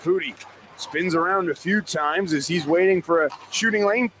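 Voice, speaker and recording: Very high-pitched male hockey commentator, noisy arena. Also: moderate pace, fairly bright tone. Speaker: hockey play-by-play announcer. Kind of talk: excited live sports commentary. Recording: clear background arena noise.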